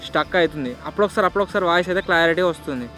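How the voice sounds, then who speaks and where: A man's voice: fairly high pitch, racing, fairly bright tone, soft background music. A young man with a Telangana accent presenting a casual vlog in an informal, slangy way. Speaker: video host.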